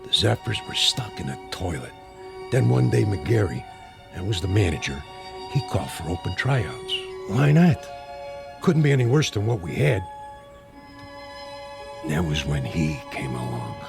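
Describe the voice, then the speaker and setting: An older actor playing a talkative former ballplayer who rambles through an old story, in a radio drama. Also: male voice, sits low, sing-song, measured pace, gravelly, long pauses, music in the background, exaggerated voice. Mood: reminiscing.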